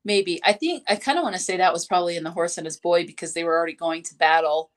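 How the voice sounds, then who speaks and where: Lower-voiced woman, reflective co-host. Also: quick pace. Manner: tentative, reflective. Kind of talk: casual book discussion on a live stream.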